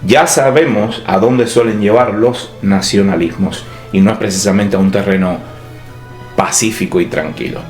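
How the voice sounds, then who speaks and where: A man from Cuba with a Cuban Spanish accent, softened by years in Madrid, talking through a reflective spoken essay as a podcast host, with ironic asides. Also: lowish voice, moderate pace. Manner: ironic, lively intonation with wry asides. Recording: roomy echo with soft background music.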